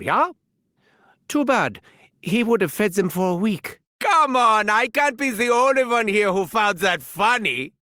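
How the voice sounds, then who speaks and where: A scheming German mad scientist with a thick German accent, sneering and gloating over his own genius. His voice leaps wildly up and down, bright and sharp-edged, and he savors each cruel word slowly. Recorded close and dry. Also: sits high, dry recording, heavily acted. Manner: theatrical, mocking, gleeful cruelty. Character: manic, sardonic German mad scientist, adult man. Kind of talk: video game voice lines.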